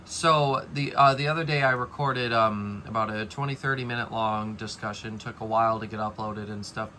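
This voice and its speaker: Rambling young man with a bright voice. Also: lowish voice, fairly even intonation, normal speed, slight background noise. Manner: rambling, many fillers and restarts. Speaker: fan collector vlogger. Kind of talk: casual update vlog.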